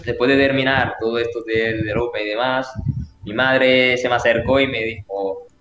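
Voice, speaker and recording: A man's voice: medium pitch, fairly even intonation, normal speed, a bit bright. A Colombian man with an accent drifting toward Spain and Argentina, chatting as a guest on a podcast. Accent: mixed Colombian and Spanish-Argentine. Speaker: guest player. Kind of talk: casual podcast chat. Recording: roomy, slightly dull sound.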